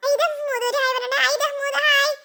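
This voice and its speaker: A woman host reads casual viewer shout-outs in an extremely high, bright voice. She moves quickly from greeting to greeting in a chatty way, over faint music. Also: little pitch movement. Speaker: cartoon channel host.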